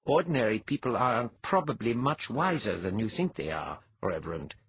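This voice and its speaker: A wry, seasoned mentor in an audiobook tale, played by a male narrator. His delivery is dry and commanding, with a very husky tone and animated pitch. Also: moderate pace, no room sound, phone-quality sound, heavily acted. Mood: dry, calm. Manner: dry, unruffled, instructive.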